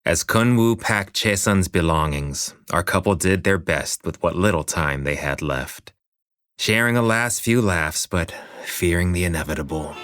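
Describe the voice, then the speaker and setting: An actor playing the storyteller, narrating a romantic audio drama in a scripted style. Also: male voice, deep voice, animated, a little slow, a bit bright, dry recording, clean studio sound. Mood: storytelling.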